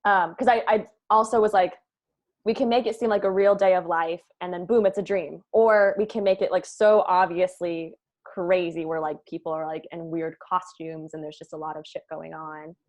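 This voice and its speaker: A woman's voice: fairly low pitch, steady tone, normal speed, a little mellow. A woman leading a casual creative discussion as the writer, informally thinking aloud.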